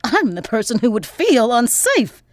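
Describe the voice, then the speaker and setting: An actress playing a proud, defiant young activist scholar with articulate, formal diction in an audio drama. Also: female voice, a little high, wildly swooping pitch, measured pace, no echo. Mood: indignant, defiant. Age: young adult.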